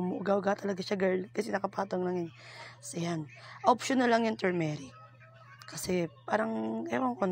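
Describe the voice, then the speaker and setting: A chatty woman walks through her cooking in a relaxed, giggly way and teases herself as she goes. Her voice sits a little low and sounds hoarse, often slipping into a breathy whisper. She records at home, in a bathroom. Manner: chatty, giggly, self-teasing. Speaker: cooking vlogger. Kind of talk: casual cooking tutorial in Taglish.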